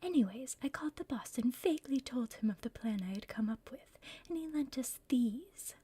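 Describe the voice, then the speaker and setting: A woman's voice: high voice, animated, moderate pace, warm tone, slight background noise. A woman playing a bossy, scheming female villain in a whispered ASMR roleplay, impatient and conspiratorial with her partner. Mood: explaining, conspiratorial. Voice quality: breathy whisper.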